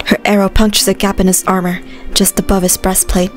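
A woman narrates a scripted fantasy story. Her medium-pitched voice stays fairly flat, with light music underneath. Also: brisk.

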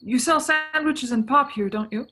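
A woman's voice: high voice, moderate pace, pushed and bright, no room sound. A woman playing a haunted, anxious young driver who tells her story in a suspense radio play, earnest and nervous. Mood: nervous, pleading.

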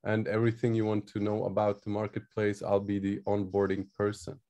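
Man, English, mellow tone, halting delivery, casual interview guest. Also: on the low side, completely flat delivery. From Switzerland. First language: not English. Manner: halting, searching for words. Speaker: guest, crypto project team member.

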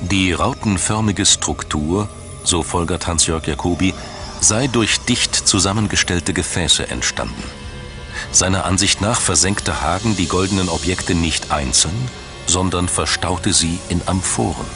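A male narrator. Clear music plays underneath his voice. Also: low voice, a little slow. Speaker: documentary narrator. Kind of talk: scripted documentary narration. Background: background music.

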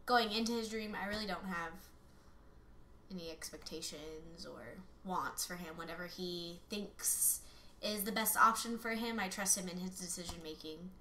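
A woman's voice: on the low side, moderate pace, pushed and bright. A woman, chatting casually as a guest, sitting back from a shared microphone at home. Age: 20s. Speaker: guest, host's fiancée. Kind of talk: casual chat. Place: small room at home. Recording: roomy, hissy, off-mic home recording.

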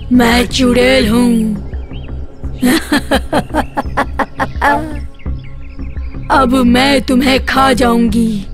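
A woman voicing a menacing, gloating man-eating witch in an animated horror story, with a drawn-out delivery. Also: high-pitched.

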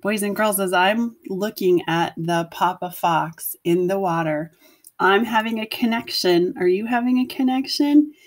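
Female primary teacher, mid-pitched, reading a storybook to young pupils with simple, instructive asides. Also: a little slow. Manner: instructive, asks the listeners questions, addresses children simply. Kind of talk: picture-book read-aloud with commentary to children.

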